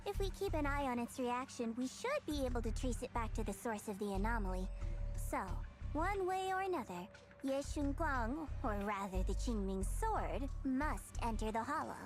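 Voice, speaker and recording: A woman's voice: a little high, animated, moderate pace, close to an everyday voice. A woman playing a blunt, matter-of-fact young woman with a cool temper in an anime-style video game. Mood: confident. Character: blunt, cool young woman who hides her concern. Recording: music behind the voice.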